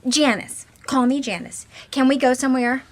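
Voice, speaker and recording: A woman's voice: high voice, normal speed, dry recording, close to an everyday voice. An actress playing a spoiled, impatient nineteen-year-old college girl in a radio drama, petulant and pushy. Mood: haughty.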